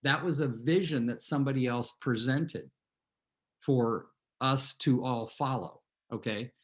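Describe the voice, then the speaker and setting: A man in his sixties from California, speaking at a middling pitch as he tells his team a long personal story over a video call. He rambles through anecdotes with plenty of fillers and restarts, and keeps turning to speak straight to his listeners. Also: a little slow. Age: 60s. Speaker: real estate team leader addressing his team.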